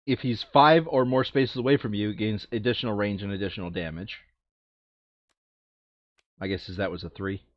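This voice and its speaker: A man with a fairly low voice chats casually over a board game, thinking out loud as he goes. He can get animated. The recording is dry and a little dull. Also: moderate pace, long pauses, no room sound. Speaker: board game player on a playthrough video. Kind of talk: casual chat while playing a board game.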